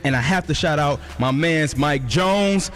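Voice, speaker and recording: A teenage boy hosting a youth radio show, casual and upbeat with street slang, talking into a studio boom microphone. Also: unhurried, fairly bright tone. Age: teens. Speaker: radio host. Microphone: studio boom mic with headphones.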